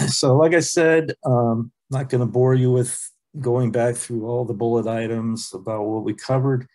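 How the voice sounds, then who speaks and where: A man presenting a software training webinar over a video call, relaxed and halting, with many ums and uhs. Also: medium pitch. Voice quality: plain, unexciting voice. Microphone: computer mic on a video call.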